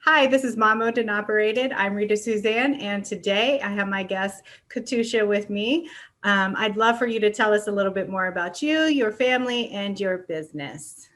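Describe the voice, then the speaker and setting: A woman's voice: mid-range voice, moderate pace, a bit bright. A middle-aged woman hosting a podcast interview in a chatty, informal, conversational way. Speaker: podcast host.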